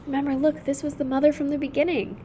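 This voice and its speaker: A woman's voice: sits high, little pitch movement, fast, warm tone, a little hiss or hum. A woman hosting a picture book read-aloud, adding short explanatory asides for young listeners.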